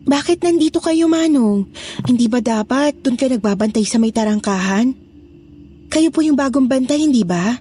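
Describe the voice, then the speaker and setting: A woman's voice: sits high, expressive, moderate pace, warm tone, slightly rough. A woman playing a stern but polite nun at an orphanage in a dramatized horror story. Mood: questioning, polite.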